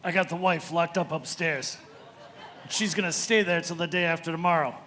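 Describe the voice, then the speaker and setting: Actor doing an agitated blunt husband in a radio drama, sharp highish male voice. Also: fairly even intonation, moderate pace. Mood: tense, grim. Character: agitated, controlling husband, garage owner. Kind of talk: radio play acting.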